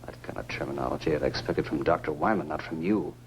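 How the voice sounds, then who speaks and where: A man's voice: a bass voice, fairly even intonation, fast talker. An actor playing a stern, skeptical man in the style of a 1950s science fiction film. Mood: suspicious. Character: stern, skeptical man questioning a crash survivor. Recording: old film soundtrack with noisy hum.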